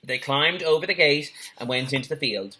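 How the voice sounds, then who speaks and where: Male teacher for children's storytime, expressive narration with small asides, bright, forceful tone. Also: fairly high pitch, normal speed, rough recording. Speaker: teacher reading a story to pupils. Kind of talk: animated children's storybook reading.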